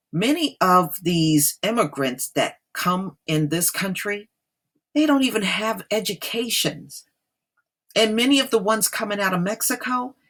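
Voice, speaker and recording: A woman hosts a commentary, speaking at an unhurried, slow pace in a husky voice. She is emphatic and talks straight to her listeners, stressing her points. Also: very deep voice. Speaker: online commentator and host. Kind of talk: reading an article aloud with off-the-cuff commentary.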